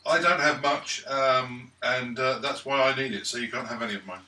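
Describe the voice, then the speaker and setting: A man's voice: mid-range voice, normal speed, bright, forceful tone. A man chatting casually as a player in a tabletop role-playing game, away from the mic in a room. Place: echoey room at a gaming table. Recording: echoey room, distant mic, clear noise.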